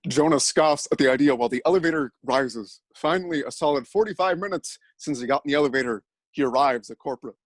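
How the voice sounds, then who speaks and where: A man narrating an absurd story in a mock-dramatic, deadpan style. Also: medium pitch, fast talker, few pauses, no echo.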